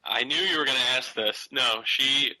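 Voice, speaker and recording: A man's voice: mid-range voice, normal speed, pushed and bright, dry recording, poor audio. A man in his thirties calling in to a talk show, speaking hesitantly with frequent fillers. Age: 30s. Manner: hesitant, many fillers and restarts. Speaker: caller. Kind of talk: casual phone-in conversation.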